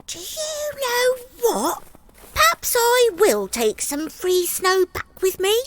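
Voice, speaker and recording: Storybook monkey played by a woman: very high, squeaky, childlike, mischievous, swooping delivery at an unhurried pace. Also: wildly swooping pitch, fairly bright tone, full character voice. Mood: musing. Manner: squeaky, childlike, hesitant boasting. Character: cheeky mischievous young male monkey, self-justifying. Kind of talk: storybook character voice.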